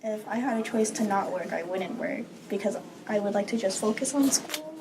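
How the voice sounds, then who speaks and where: A woman's voice: mid-range voice, animated, moderate pace. A young adult woman, a college student, describing her routine in a matter-of-fact way with "like" fillers, recorded in a car. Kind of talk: casual interview answers. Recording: vehicle noise behind.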